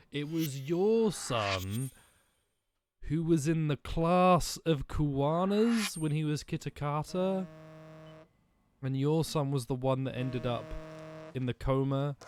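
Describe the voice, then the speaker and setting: A man's voice: medium pitch, darkish tone, a little hiss or hum. A young man streaming a game, chatting and thinking aloud with lots of ums and restarts. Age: young adult. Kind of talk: gaming commentary.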